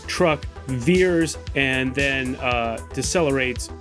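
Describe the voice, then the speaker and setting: A man playing a tabletop game, explaining his moves and describing action in a deliberate, casual way. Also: mid-range voice, fairly bright tone, faint music behind.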